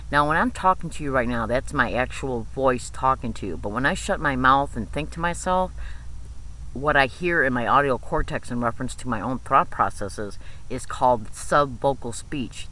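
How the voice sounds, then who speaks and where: A man's voice: mid-range voice, pushed and bright, a little hiss or hum, rough recording. A man giving an explanatory monologue in an insistent, repetitive way, often checking in as he goes. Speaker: video host.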